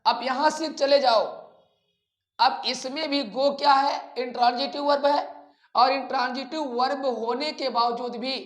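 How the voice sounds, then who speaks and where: Indian accent, grammar teacher, slow delivery, didactic question-and-answer manner, echoey room recording. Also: high voice, steady tone. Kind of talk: instructional lecture mixing Hindi and English terms.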